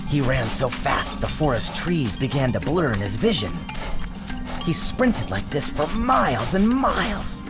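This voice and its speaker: Very animated male storyteller over music. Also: medium pitch. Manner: theatrical, expressive. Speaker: author narrating his storybook. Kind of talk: dramatic scripted storybook narration. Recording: music and sound effects behind narration.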